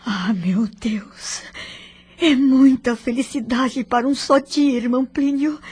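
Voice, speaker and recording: A woman playing a tender, humble spirit learner in an audio drama, speaking with breathy, emotional reverence. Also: high-pitched, takes it slowly, a little mellow, heavily acted. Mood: tearful joy. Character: tender, awestruck female spirit, a humble learner longing for loved ones. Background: music.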